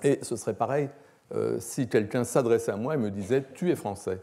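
A man, a philosophy professor giving an academic lecture in a measured, explanatory, hesitant manner. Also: mid-range voice, vocal fry, warm tone. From France. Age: 60s. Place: lecture hall, at a lectern.

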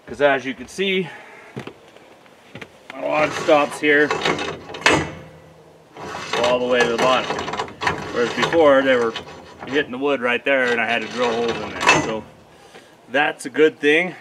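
A man's voice: mid-range voice, measured pace, pauses often, heavy background noise. A man casually explaining a DIY job as a vlogger, matter-of-fact and thinking aloud. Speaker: homesteader vlogger. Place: outdoors at a homestead sawmill site.

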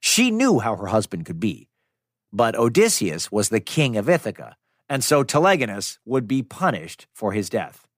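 A man's voice: on the low side, very animated, moderate pace, no room sound. A man narrating a scripted myth for children as a storyteller, in a dramatic but conversational way. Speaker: storyteller, podcast host. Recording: dry studio sound with music behind. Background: music.

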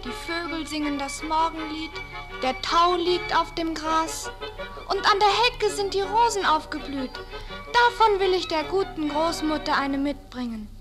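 A woman's voice: very high voice, measured pace, over music. A woman playing a sweet, cheerful little girl in a fairy-tale audio play, with an earnest, naive delivery. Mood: eager, dutiful.

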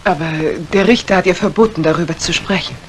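A woman's voice: lowish voice, fast talker, close to an everyday voice. An actress playing a polite married woman in a retro radio crime drama. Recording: noisy old radio recording.

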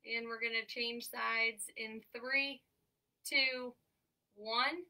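A woman's voice: fairly high pitch, steady tone, pushed and bright. A young woman, an occupational therapist, leading an exercise class in an upbeat, encouraging way, congested from allergies and breathing a little heavily. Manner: upbeat, encouraging, counts down holds slowly. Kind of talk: guided workout instruction. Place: small room at home, in front of a banner backdrop. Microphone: camera mic from across the room. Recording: voice from a distance off a camera mic.

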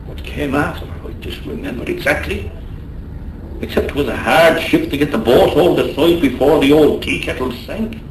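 An actor playing a rough, loyal Irish sailor in a radio drama, blustering yet tender, with an Irish accent. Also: male voice, on the high side, moderate pace, far from the mic, natural voice. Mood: grim storytelling. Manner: blustery, colloquial. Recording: roomy 1980s radio drama, faint noise.

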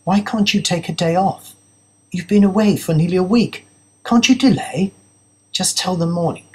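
Man doing a woman's voice, raised pitch, anxious fiancée, pleading, insistent questions, audiobook character. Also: moderate pace, lightly acted. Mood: worried, pleading.

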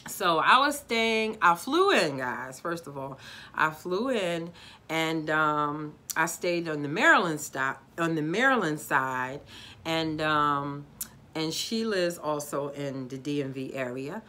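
A woman's voice: low voice, slow. Chatty Baltimore woman vlogging at home. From Baltimore, USA. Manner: animated, talks with her hands, addresses viewers as guys. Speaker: YouTube vlogger. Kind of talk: casual chatty review to camera. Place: decorated living room, indoors.

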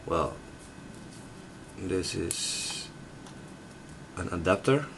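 Male, Dutch accent, low register, unhurried and hesitant amateur tech commentary, noisy room recording. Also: expressive, creaky. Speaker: tech reviewer. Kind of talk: casual unboxing commentary. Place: indoors at a desk in a room.

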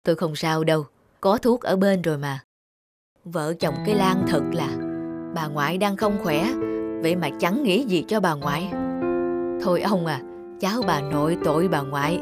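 A woman voicing a character in an animated family drama. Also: lowish voice, normal speed, natural voice. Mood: concerned. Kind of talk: cartoon family drama acting. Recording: loud music over the voice.

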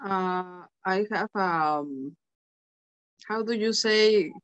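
Slow, halting Spanish-speaking woman reader. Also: medium pitch, clean tone, dull audio. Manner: slow, halting reading with hesitations. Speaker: English student. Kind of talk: reading aloud in an online English lesson.

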